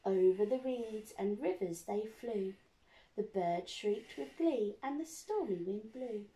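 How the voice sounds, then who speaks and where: Woman, teacher-style story reading, lively swooping intonation, unhurried, animated. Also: medium pitch, low-quality recording. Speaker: primary school teacher. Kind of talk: expressive picture-book read-aloud for children. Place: indoors, living room by a window.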